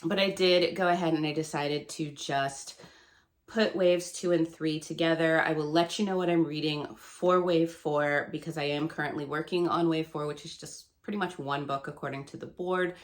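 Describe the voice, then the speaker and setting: A woman in her thirties chatting casually to camera as a book vlogger, her voice still gravelly and nasal from a cold, rambling and laughing often. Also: low-pitched, fairly even intonation, normal speed. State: hoarse and stuffy from a cold.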